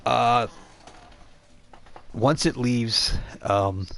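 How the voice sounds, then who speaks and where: A man working as game master, improvising narration for a tabletop role-playing game in a halting way. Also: medium pitch, creaky, fairly bright tone.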